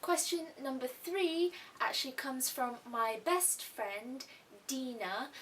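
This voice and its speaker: A woman's voice: high voice, lively intonation, slow pace, a bit bright. A young woman who grew up in Thailand, hosting a casual art-channel question and answer vlog in a chatty way, with asides and restarts. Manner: chatty, repeats herself, little asides. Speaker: YouTube art channel host. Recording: faint hiss, mic a little distant.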